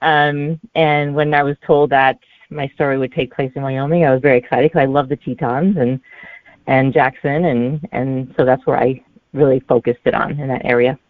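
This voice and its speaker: Halting low-voiced woman on a tinny line. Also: fairly even intonation, dry recording. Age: 40s. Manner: halting, hesitant delivery. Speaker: guest author. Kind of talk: casual interview answers. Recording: narrow, tinny phone-like line.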